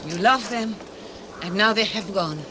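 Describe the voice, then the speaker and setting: An actress playing a devoted, worried wife in a radio play, speaking in an earnest, pleading way. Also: female voice, medium pitch, a little slow, lightly acted. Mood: pleading, sad. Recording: noisy ambient effects behind.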